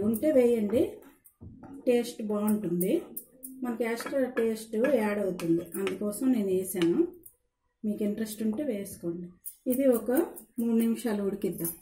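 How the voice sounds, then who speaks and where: A woman's voice: fairly high pitch, warm tone. A woman giving an informal cooking demonstration, instructing viewers directly as a home cook. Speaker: home cook presenting a recipe. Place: indoor home kitchen at the stove. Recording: roomy kitchen sound with background noise.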